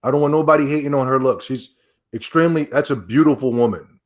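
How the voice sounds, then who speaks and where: A man hosts a loose, unscripted podcast. He rambles and repeats himself and gets excitable as he reacts on the fly. His voice sits at an ordinary middle pitch. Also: fast. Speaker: podcast host. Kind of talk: casual chat reacting to videos and donations.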